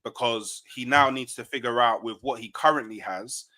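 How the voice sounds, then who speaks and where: A man's voice: mid-range voice, moderate pace, bright, forceful tone. A man in casual, slangy football banter as a fan panellist, often tagging his points with "innit". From England.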